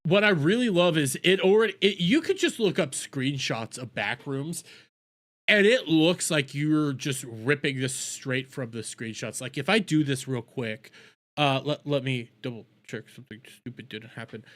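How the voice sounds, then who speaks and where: A man's voice: on the high side, expressive, moderate pace, a bit bright. A man hosting a casual live reaction stream, talking bluntly with swearing and repeating phrases for emphasis. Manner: blunt, profane, opinionated.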